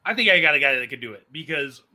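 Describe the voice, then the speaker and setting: A man's voice: on the high side, expressive, quick pace, bright, forceful tone. A man hosting a casual interview, feeling his way through questions with repeated words. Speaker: podcast host, character actor.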